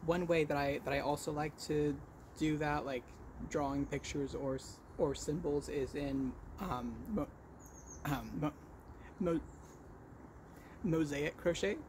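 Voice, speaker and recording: Male vlog host, stutters, slow halting delivery, casual chat, clear background noise. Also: fairly high pitch, darkish tone. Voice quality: stutter with repeated sounds and blocks. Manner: halting, self-correcting. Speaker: vlog host showing crochet work. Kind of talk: casual podcast-style chat.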